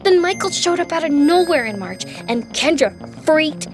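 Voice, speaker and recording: A woman's voice: sits high, lively intonation, normal speed, music in the background. An actress playing an earnest teenage schoolgirl in an audio drama, confiding in a friend. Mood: uneasy, earnest.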